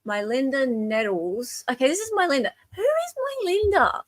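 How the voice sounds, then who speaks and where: A woman's voice: high voice, sing-song, normal speed, no echo, clean studio sound. A woman with an Australian accent hosting a casual live group chat in a chatty, informal, moderating way. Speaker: livestream host.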